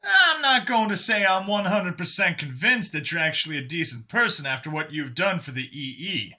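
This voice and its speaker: Man voicing an earnest, emphatic, bright-voiced man. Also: high-pitched, big pitch swings, moderate pace, voice pushed up, heavily acted. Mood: guarded, conceding. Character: earnest abducted human man, wary but forgiving. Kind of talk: audiobook character dialogue.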